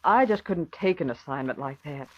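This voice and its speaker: An actress playing an earnest, squeamish office secretary in 1940s radio style. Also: female voice, low-pitched, lively intonation, moderate pace. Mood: distressed. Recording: muffled old radio recording.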